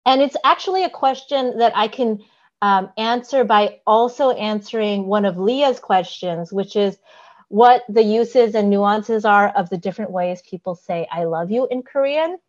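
A woman's voice: medium pitch, normal speed, highs cut off. A woman co-hosting a podcast, explaining things carefully in casual chat, with frequent "um"s and a giggly manner. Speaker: podcast co-host.

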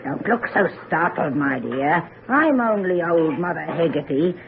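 A woman's voice: fairly low pitch, expressive, a little slow, gravelly, phone-quality sound. Old herb woman telling fortunes, foreboding country talk, noisy old radio sound. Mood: folksy, inviting. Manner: rustic old-fashioned speech, foreboding. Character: old country herbalist fortune-teller woman, ominous, sharp-tongued. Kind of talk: old-time radio drama acting.

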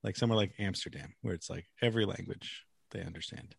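Sex: man. Role: podcast host. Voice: gravelly, husky. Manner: joking, rambling questions. Sound: dry, close. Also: deep voice, quick pace, no room sound. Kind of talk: casual interview.

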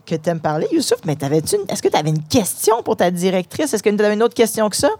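A woman's voice: medium pitch, sing-song, fast, darkish tone. A woman with a Canadian French accent hosting a casual, chatty interview with schoolchildren and their principal. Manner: friendly, chatty, restarting phrases. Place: inside a parked truck.

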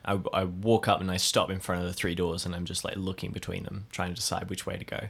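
Low-voiced man narrating his roleplay character. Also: little pitch movement, fast, gravelly, a bit bright, husky. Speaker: player in improvised audio drama.

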